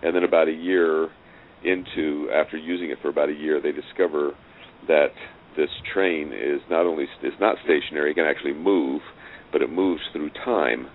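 Very deep-voiced man on a tinny line. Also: fairly even intonation. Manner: halting, repeats words as he explains. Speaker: interview guest, audio drama writer and producer. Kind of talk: conversational interview, explaining a project. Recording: noisy, tinny phone-like call line.

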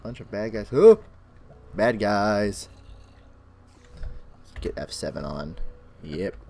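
A man's voice: takes it slowly, vocal fry. Chatty man with a crackly, sick voice. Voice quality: extra bass from an allergic reaction. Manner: chatty, animated narration of his own play. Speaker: gaming channel host. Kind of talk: Minecraft let's play commentary.

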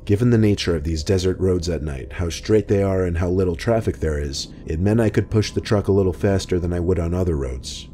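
A man reading first-person scary stories in a calm, measured scripted narration. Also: low voice, a little hiss or hum. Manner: calm, measured storytelling delivery. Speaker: horror story narrator. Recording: music bed underneath.

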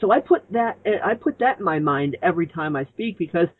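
A man calling in to a conference call, talking in a candid, animated, self-deprecating way and laughing often. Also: high voice, brisk, husky. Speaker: caller. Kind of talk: casual chat. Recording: phone line, lo-fi.

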